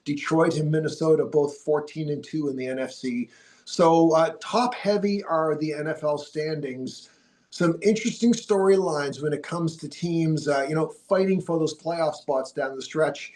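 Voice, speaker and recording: A man with a somewhat high voice hosts a sports podcast from a TV studio. He sounds stuffy from a cold, but he talks with an upbeat, polished broadcaster's delivery. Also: normal speed, a little mellow. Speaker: podcast host. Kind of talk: casual sports podcast chat.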